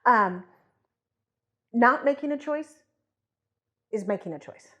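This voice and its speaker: A woman's voice: a little high, animated, slow, pushed and bright, muffled recording. A woman co-hosting a podcast, explaining things conversationally and emphatically, voicing imagined thoughts as examples. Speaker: podcast co-host.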